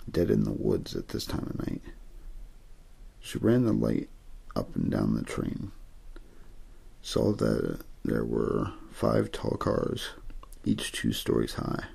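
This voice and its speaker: A man reading a story in ASMR style, untheatrical and meant to lull listeners to sleep, with a low, gravelly, husky voice. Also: darkish tone. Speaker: amateur story reader.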